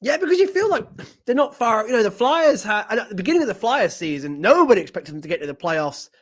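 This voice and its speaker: A man's voice: high voice, very animated, fast talker. A man from Britain hosting a casual podcast interview, enthusiastic, often restarting phrases. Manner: enthusiastic, repeats words, laughs often. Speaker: podcast host.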